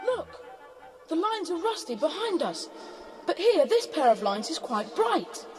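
A woman's voice: very high-pitched, very animated, measured pace, over music, exaggerated voice. A woman playing an earnest, indignant schoolboy adventurer with an English accent and proper, exclamatory speech in a children's audio drama. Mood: curious, alert. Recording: faintly noisy cassette transfer.

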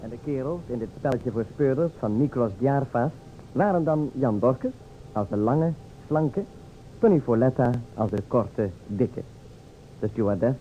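A man announcing formally, reading credits as an old-time radio announcer. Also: mid-range voice. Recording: dull old radio recording, faint hiss.

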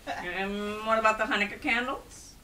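A woman's voice: medium pitch, moderate pace, bright, forceful tone, recorded from a distance, slight background noise, voice pushed up, lightly acted. A woman voicing a greedy, grumpy male goblin in a storybook, with a blunt, grumbling manner. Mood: grudging.

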